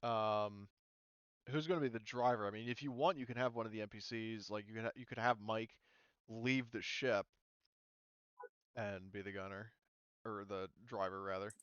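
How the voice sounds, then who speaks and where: A man with a smooth, fairly low voice runs a casual tabletop game, explaining and thinking aloud informally. The sound is dry and slightly dull. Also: fairly even intonation, moderate pace, pauses often, fairly bright tone, no echo. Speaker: game master.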